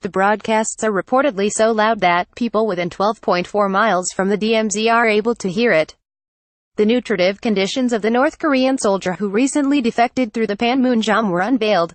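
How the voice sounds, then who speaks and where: A woman's voice: mid-range voice, no room sound. A woman reading a scripted news report aloud as a narrator.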